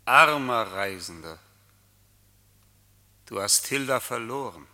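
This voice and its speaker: An actor playing a cruel, domineering tempter in a literary radio play, taunting and declaiming with deliberation. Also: male voice, medium pitch, lively intonation, slow pace, sharp tone, long reverb tail, heavily acted. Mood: mocking, grand. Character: cruel, domineering male tempter claiming a fallen woman.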